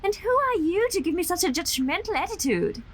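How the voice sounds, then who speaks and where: A woman's voice: extremely high pitch, sing-song, brisk, a little hiss or hum. An actress playing a volatile, obsessive young woman in a radio drama, with a dramatic, defensive, sardonic way of talking. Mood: angry, defensive.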